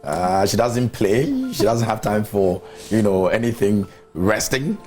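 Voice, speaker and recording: A man's voice: fairly low pitch, unhurried, a little mellow, faint music behind. A man hosting a morning TV show, chatty and teasing, chatting casually with a guest in a studio. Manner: chatty, teasing, laughs often.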